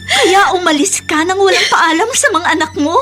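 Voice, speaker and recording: An actress playing a devoted, caring niece in a radio drama, earnest and emotional. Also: female voice, very high voice, moderate pace, pushed and bright, faint music behind. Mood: shocked. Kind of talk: Tagalog radio drama acting.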